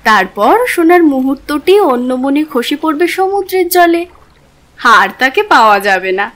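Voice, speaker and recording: A wry young society lady in an audio drama. She has a high voice and a measured, playfully mocking way of teasing. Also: big pitch swings, fairly bright tone. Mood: gently reproachful. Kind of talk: literary audio drama acting.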